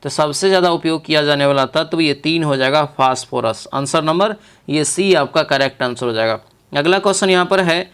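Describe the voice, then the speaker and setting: Male tutor from India, smooth crisp voice on the higher side, informal quiz walkthrough with repeated key phrases. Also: a bit bright. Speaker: exam-prep teacher. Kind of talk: instructional quiz walkthrough, reading questions and explaining answers.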